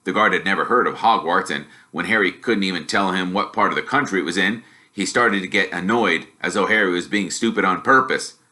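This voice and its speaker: A man reading a story aloud as expressive scripted narration. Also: low voice, fairly quick. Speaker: storybook reader.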